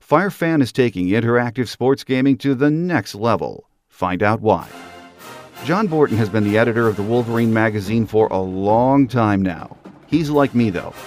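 Low-pitched man hosting a sports podcast. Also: a little mellow. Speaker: podcast host. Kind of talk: sports podcast monologue, partly scripted.

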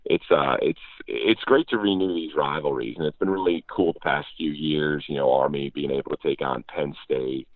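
An American man with an extremely deep voice speaks as a sports interview guest. The sound is narrow and phone-like, with no room echo. He talks conversationally, as a broadcaster would. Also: moderate pace. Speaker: radio play-by-play announcer, podcast guest. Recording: phone-like narrow band, very dry.

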